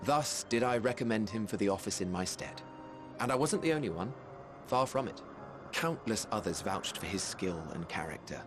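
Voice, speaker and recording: Actor as a genial low-voiced mage, eloquent and expressive. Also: male voice, over music, natural voice. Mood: earnest, admiring. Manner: eloquent, formal, measured storytelling. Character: genial, wry, modest male mage, admiring of friends. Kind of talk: video game cutscene acting.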